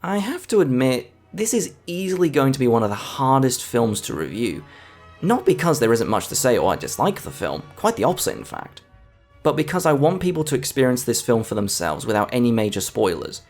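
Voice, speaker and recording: A man's voice: medium pitch, sing-song, fairly quick, a little mellow. A man giving scripted video essay narration as a film reviewer, with an enthusiastic, emphatic delivery. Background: background music.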